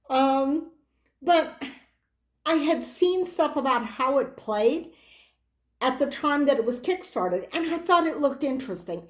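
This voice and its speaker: A hoarse middle-aged woman hosting a board game channel, chatting casually in a rambling way with lots of side remarks. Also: sits high, darkish tone. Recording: roomy echo.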